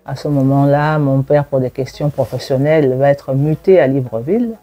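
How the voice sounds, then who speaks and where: Mellow-voiced woman in her forties, reflective. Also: very deep voice, fairly even intonation, soft background music. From Gabon. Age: 40s. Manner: reflective and warm in tone, laughs while recalling childhood, pauses with fillers. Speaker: university lecturer and researcher. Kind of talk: interview, personal testimony.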